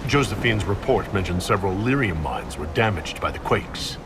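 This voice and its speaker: An actor playing a businesslike, concerned inquisitor with a measured, serious manner in a fantasy game. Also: male voice, deep voice, very animated, distant mic, noisy background.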